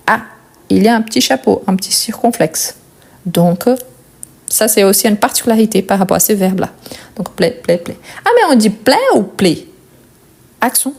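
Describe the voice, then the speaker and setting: A woman with a non-native French accent leads a live lesson on verb conjugation. Her voice is warm and mellow at a middling pitch. She works slowly, repeating each form twice with small fillers and quick asides. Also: creaky. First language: not French. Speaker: French teacher.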